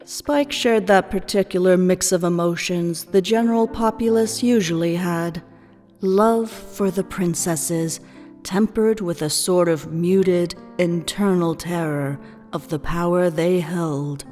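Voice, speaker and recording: A woman reads scripted fiction as narrator, very unhurried and measured. Her voice is soft-edged and dark, carried in a long reverb with music underneath. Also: lowish voice, fairly even intonation.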